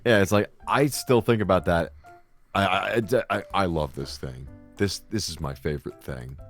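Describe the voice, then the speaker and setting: A young man with a deep voice, telling rambling, crude, swear-filled stories in a casual chat. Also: normal speed, faint music behind. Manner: crude, rambling storyteller who swears a lot.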